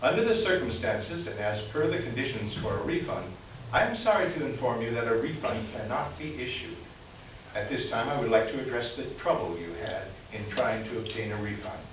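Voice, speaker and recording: A man's voice: mid-range voice, expressive, fairly quick, lightly acted. A man playing a smug, officious middle-aged complaints officer, reading his formal, condescending letter aloud in staged reader's theater. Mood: smug condescension. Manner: formal, pompous, pointedly condescending. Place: indoor stage presentation before an audience. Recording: echoey hall from far off, dull, faint hiss.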